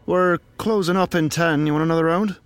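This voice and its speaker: An actor playing a chatty, nosy, wry bartender with a folksy, teasing way of talking in a 1930s noir audio drama. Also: male voice, fairly high pitch, steady tone, a little fast, smooth, natural voice.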